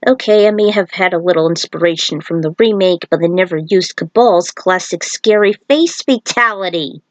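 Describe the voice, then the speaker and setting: A woman's voice: fairly low pitch, normal speed, no echo. A woman narrating as a fan fiction writer, with informal, chatty asides. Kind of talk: reading own story, then casual commentary.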